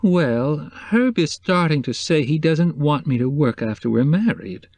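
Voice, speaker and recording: A man's voice: a little high, expressive, a little slow, darkish tone, no room sound, voice pushed up. Man doing a fretful motherly woman, middle-aged, raised voice, dry sound. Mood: uneasy.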